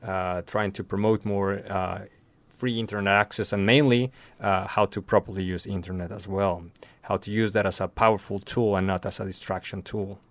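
A man speaking as a guest in a hesitant way with frequent pauses. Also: sits low, fairly even intonation, no room sound. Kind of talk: conversation.

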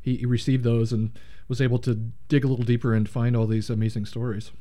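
A man's voice: mid-range voice, little pitch movement, fast talker, dark tone. A man who runs a radio drama troupe, talking about it with enthusiasm and some fillers. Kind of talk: casual interview chat.

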